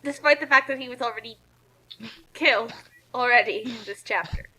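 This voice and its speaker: A woman's voice: a little high, fairly quick, bright, forceful tone, rough recording. A woman doing casual, joking group commentary on a story read aloud over an online voice call.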